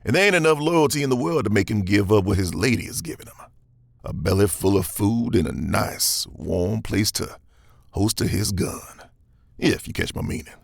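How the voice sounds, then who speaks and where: Gravelly, cocky Western gunslinger. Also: male voice, on the low side, animated, dry recording. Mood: smug, needling. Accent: American Southern. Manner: slow taunting drawl, theatrical. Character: cocky drawling Western gunslinger, dangerous, darkly playful. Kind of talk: Western audio drama acting.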